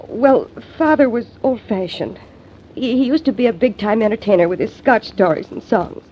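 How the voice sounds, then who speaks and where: A woman's voice: on the high side, expressive, normal speed, a little hiss or hum, lightly acted. An actress playing an anxious young female singer in a 1940s radio drama, speaking hesitantly with many stumbles. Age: young adult. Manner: hesitant, faltering speech. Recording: dull old radio recording.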